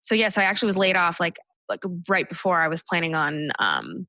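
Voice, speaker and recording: A woman comic from the United States chats at a quick clip, her voice bright and forward. She fills her talk with "like" and "you know," and the sound is dry and close. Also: fairly low pitch, very animated, no room sound. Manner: chatty, full of "like" and "you know" fillers. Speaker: stand-up comic guest. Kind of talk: casual interview chat.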